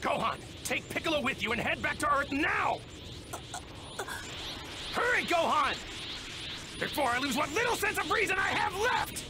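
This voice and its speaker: A man voicing a fierce, righteous alien warrior hero in an anime game, forceful and strained. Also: extremely high pitch, big pitch swings. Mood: seething rage. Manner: forceful, strained, drawn-out shouting. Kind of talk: English anime game voice acting. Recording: loud music and effects behind.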